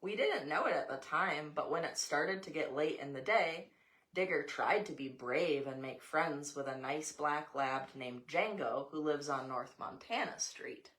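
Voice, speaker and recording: A woman's voice: low voice, measured pace, fairly bright tone. An American woman reads a children's picture book aloud, adding informal asides to a young child. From United States. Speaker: storybook reader, mother.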